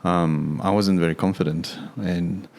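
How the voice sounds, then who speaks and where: A man, a guest on a podcast, speaking softly in short replies. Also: deep voice, fairly even intonation, measured pace, vocal fry. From Korea. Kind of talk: casual interview chat.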